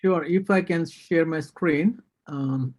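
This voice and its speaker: A man's voice: on the high side, takes it slowly, darkish tone. A man with an Indian accent, speaking as a doctor on a panel discussion, earnest and critical, with frequent hesitations. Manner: earnest, critical, with many fillers. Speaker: psychiatrist panelist.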